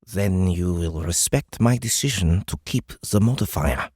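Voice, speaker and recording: An actor playing a stern, sardonic senior male alien officer in an audiobook, with a gravelly rasp and a deliberate, cutting delivery. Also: low voice, darkish tone, no room sound, studio quality. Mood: dry sarcasm. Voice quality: gravelly, raspy. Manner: deliberate, cutting, drily mocking. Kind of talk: audiobook character acting.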